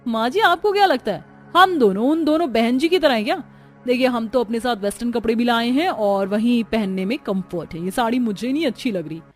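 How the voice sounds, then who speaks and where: A woman's voice: a little high, expressive, a little fast, faint music behind, lightly acted. A woman playing a haughty, defiant young city daughter-in-law in a cartoon drama. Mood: snobbish. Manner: disdainful, defiant.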